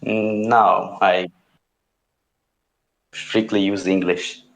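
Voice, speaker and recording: Young male guest from Italy, native Italian, low voice, very slow and terse. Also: level tone, vocal fry, low-quality recording. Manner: short, unhurried answers with long pauses. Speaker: rapper, interview guest.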